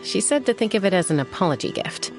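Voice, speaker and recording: A woman playing a composed young warrior and protective peacemaker in a video game, with a calm, measured, gently firm manner. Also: mid-range voice, music in the background. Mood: relaxed, reflective.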